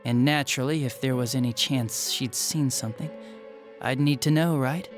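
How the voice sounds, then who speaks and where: An actor playing a smug, spiteful young detective villain in a video game, with a sneering, flippant and theatrical manner. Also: male voice, mid-range voice, normal speed, faint music behind, natural voice. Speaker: police detective. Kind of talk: dramatic video game voice acting.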